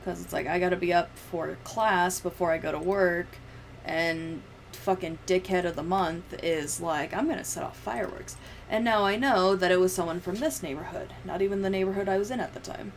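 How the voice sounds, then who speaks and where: Creaky, low-voiced young woman streamer. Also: normal speed, a little hiss or hum. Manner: rambling, profane. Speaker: livestreamer. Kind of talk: casual livestream chat while editing.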